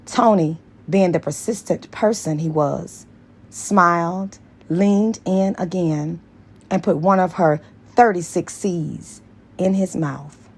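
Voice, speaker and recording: A woman's voice: on the low side, slow, darkish tone, husky. A woman reading a story aloud as scripted audiobook narration. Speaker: audiobook reader.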